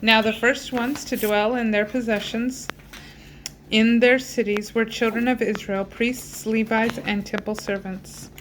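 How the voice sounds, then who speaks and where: A woman's voice: a little high, steady tone, unhurried, a little hiss or hum, low-quality recording. A woman casually leading her family's Bible reading at home, cheerful and guiding the children. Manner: cheerful, laughing, guiding the kids.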